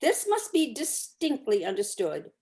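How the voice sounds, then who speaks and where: A woman's voice: a little high, huge pitch swings, a little mellow. Emphatic woman reading classic story narration. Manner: emphatic, deliberate. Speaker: narrator, community volunteer reader.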